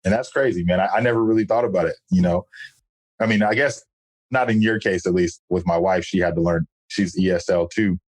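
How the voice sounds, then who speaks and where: A man's voice: deep voice, fairly quick, no echo, rough recording. A man hosting an interview podcast, chatting in an upbeat, friendly and loose way. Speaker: podcast host. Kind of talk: casual interview chat.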